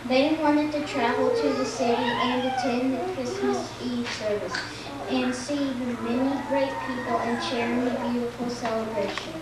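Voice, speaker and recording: A woman's voice: high voice, natural voice. A woman playing the storybook narrator of a puppet show, reading scripted narration. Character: storybook narrator of a Christmas legend. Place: church, recorded from afar. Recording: very echoey, distant, loud-noise church recording.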